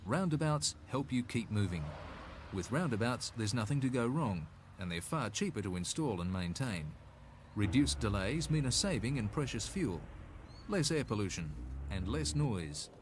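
Australian man, mid-pitched, scripted educational narration, instructive with folksy touches, faint traffic noise on an old film soundtrack. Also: big pitch swings, moderate pace. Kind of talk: scripted narration, 1980s road safety film.

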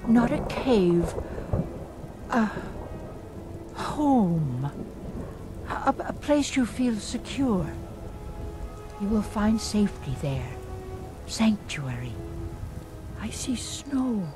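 An actress playing a weary old fortune-teller in a fantasy game, with halting, portentous phrasing over music. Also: female voice, mid-range voice. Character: weary old fortune-telling seer woman, folksy, eerie in trance. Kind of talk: fantasy video game acting.

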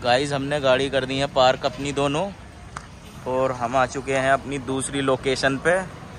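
A man's voice: medium pitch, little pitch movement, unhurried, a bit bright. A young man casually narrating a road trip vlog, chatting to his viewers from inside a car. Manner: chatty, addresses viewers as guys. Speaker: vlogger. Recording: noisy car and road sound.

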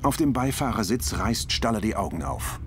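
A man's voice: lowish voice, very animated, moderate pace, background noise. A man reading terse scripted scene descriptions as an audio description narrator.

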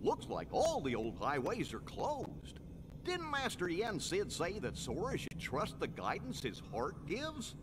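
A man's voice: high-pitched, huge pitch swings, a little slow, background noise, full character voice. An actor voicing a kindly, dim cartoon dog-man sidekick in a video game, with a folksy, good-natured drawl. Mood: earnest. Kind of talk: animated video game cutscene. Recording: game audio with music behind.

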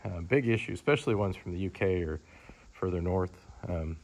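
A man's voice: deep voice, moderate pace, a little mellow. A man presenting a vehicle as a car dealer, in a casual, off-the-cuff, matter-of-fact sales walkaround that rambles along informally. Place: large indoor car showroom. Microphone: handheld camera, speaker behind it.

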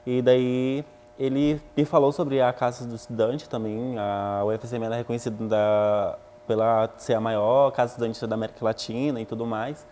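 A man's voice: medium pitch, little pitch movement, moderate pace, dark tone, slight background noise. A man in his twenties from northern Brazil, a student giving an earnest interview on a lapel mic indoors. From Acre, northern Brazil. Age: 20s. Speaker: animal science student. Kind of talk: interview testimony. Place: indoors by a painted wall.

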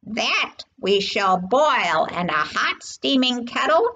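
A woman's voice: fairly high pitch, sing-song, takes it slowly, sharp tone, lo-fi sound, full character voice. A woman voicing a big, haughty female kangaroo from a children's storybook, indignant and snappish, stretching out emphatic words. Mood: scolding, menacing. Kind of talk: children's storybook character reading.

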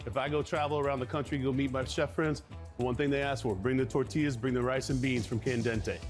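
A man's voice: mid-range voice, fairly even intonation, brisk. A middle-aged man hosting a food show, chatting casually and enthusiastically in short emphatic phrases. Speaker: food show host, chef. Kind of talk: casual on-location food show chat and presenting. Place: restaurant dining rooms. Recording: music behind the voice. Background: music.

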